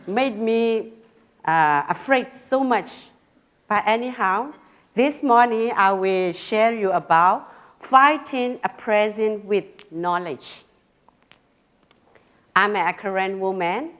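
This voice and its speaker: A middle-aged woman from Burma with a Karen accent, giving a personal talk in simple, halting phrasing with pauses and fillers, a little nervous. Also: mid-range voice, fairly bright tone. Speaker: speaker at a talk.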